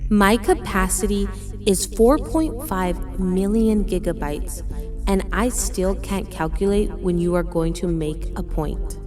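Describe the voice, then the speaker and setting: A woman's voice: mid-range voice, a little slow, music in the background, close to an everyday voice. A woman playing an opinionated, impatient female android in a radio drama, speaking in a precise, formal manner.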